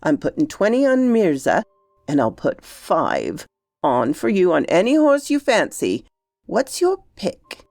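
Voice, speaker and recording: A woman's voice: lowish voice, wildly swooping pitch, a little slow, creaky, darkish tone, no room sound, faint music behind, heavily acted. A woman voicing a jovial, indulgent grown uncle with a teasing, hearty manner in a storybook reading.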